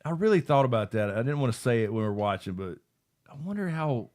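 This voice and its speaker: A man's voice: mid-range voice, very animated, fast talker, darkish tone. Guy hosting a live after-show stream, loose and rambling, swears a lot, laughs easy. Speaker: livestream after-show host. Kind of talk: casual live chat, reading viewer comments.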